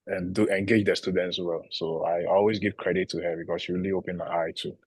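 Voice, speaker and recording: A man from Ghana with a Ghanaian English accent, giving measured interview answers about teaching. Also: low-pitched, steady tone, slightly rough, no echo. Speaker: international PhD student and teacher.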